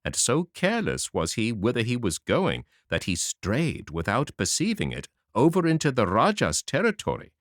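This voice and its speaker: A man narrating a fairy tale audiobook in a dramatic, expressive reading. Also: on the low side, dry recording. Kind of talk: scripted storybook narration. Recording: dry close audiobook mic.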